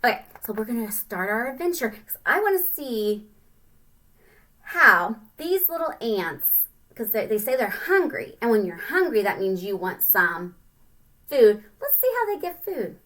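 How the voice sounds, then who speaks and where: A woman teacher reading a picture book aloud to young children, with simple, expressive explanations in between. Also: on the high side, a little slow, low-quality recording.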